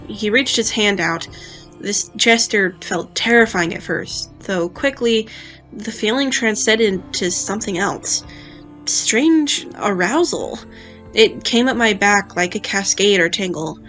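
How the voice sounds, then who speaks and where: A woman's voice: mid-range voice, fairly bright tone, close to an everyday voice, poor audio. A woman playing a frank, wary young female rebel who tells her own story as dramatic first-person narration. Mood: uneasy, awed. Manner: confessional, storybook-style telling. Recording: music behind the voice. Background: music.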